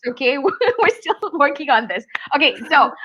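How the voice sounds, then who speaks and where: A woman hosting a live online interview, chatty and lively, laughing often. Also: high-pitched, moderate pace. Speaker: host.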